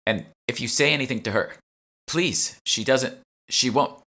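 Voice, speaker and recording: A man voicing a quick-thinking young woman in an audiobook, speaking in short, stammering bursts. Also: medium pitch, pushed and bright, voice pushed up. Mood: faking sickness. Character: young woman narrator-heroine, quick-thinking, urgent schemer. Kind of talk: audiobook character dialogue.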